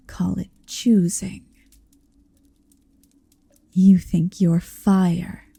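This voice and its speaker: A woman playing a possessive, motherly dragon in an ASMR roleplay, soft and breathy, teasing and dominant, close on the microphone. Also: mid-range voice, no room sound, exaggerated voice. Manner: deliberate, teasing, dominant, lingering on phrases. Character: possessive motherly female dragon, tender, proud, quietly menacing. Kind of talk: ASMR roleplay, intimate slow murmuring and whispering. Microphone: close microphone, dry.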